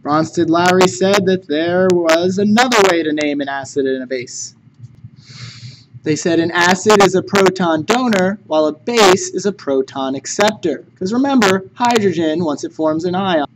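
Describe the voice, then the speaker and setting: Guy teaching chemistry, kinda high voice, jokes around, noisy background. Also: a little slow. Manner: jokey, conversational. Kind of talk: recorded classroom lecture. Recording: noticeable background noise.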